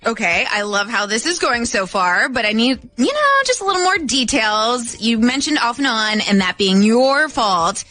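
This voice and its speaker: A woman radio co-host with a bright, lively voice over a music bed. She chats casually and leads the caller along with questions. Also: on the high side, moderate pace, few pauses.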